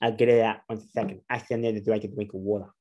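A man's voice: lowish voice, little pitch movement, rapid-fire, mellow, highs cut off. A man, giving an earnest solo spiritual talk to camera, asking rhetorical questions with emphasis. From Australia. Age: 40s. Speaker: astrologer.

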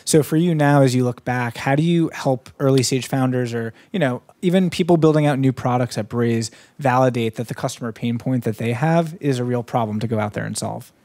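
A man in his thirties interviewing a guest on stage at a tech meetup, asking relaxed, casual questions into a handheld mic. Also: medium pitch, brisk, few pauses, dry recording. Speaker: interviewer, event host. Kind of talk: fireside chat on stage. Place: meetup event room, indoors.

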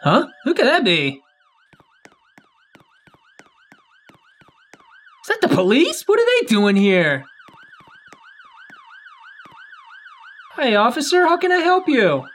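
A man's voice: very high-pitched, wildly swooping pitch, normal speed, fairly bright tone, slight background noise, full character voice. A man playing a flustered, pleading innocent suspect in an improvised video game roleplay. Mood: puzzled. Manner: exaggerated, pleading protests.

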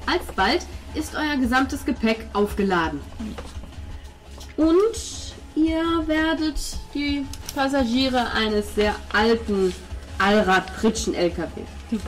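A woman's voice: on the high side, expressive, slow pace. Woman game master narrating, music behind. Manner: descriptive, informal storytelling. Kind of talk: narrating a tabletop role-playing game.

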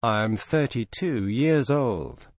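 A man reading short English phrases for learners, slow and clearly spaced. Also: medium pitch, sing-song, dry recording.

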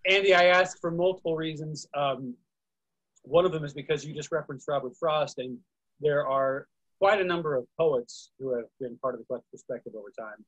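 A man's voice: fairly high pitch, a little fast, a bit bright, dry recording, dull audio. A man moderating a casual group discussion over a video call, speaking as the host. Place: indoors, online video call. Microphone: video call microphone.

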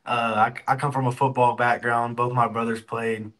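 A man's voice: mid-range voice, little pitch movement, normal speed, fairly bright tone. A young man from Texas talks over a video call from an indoor room. He is an easygoing, upbeat college football player who fills his answers with casual fillers. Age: young adult.

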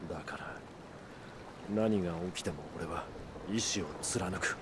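An actor playing a resolute, brooding outlaw leader in a video game, speaking earnestly and with measured gravity. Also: male voice, low voice, very noisy. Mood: solemn, resolute. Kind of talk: Japanese video game cutscene acting.

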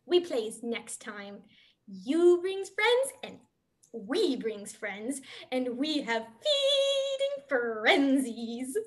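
A woman as a high, taunting childlike shark. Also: very animated, very slow, heavily acted. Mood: taunting, hungry. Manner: singsong, broken grammar, taunting. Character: Mako, menacing childlike shark who hungers to bite. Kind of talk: dramatic play reading. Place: small dim room, video call.

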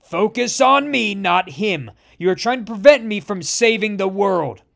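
A man's voice: high voice, lively intonation, a little slow, vocal fry, exaggerated voice. A man voicing a zealous male villain who gives intense commands. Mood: commanding.

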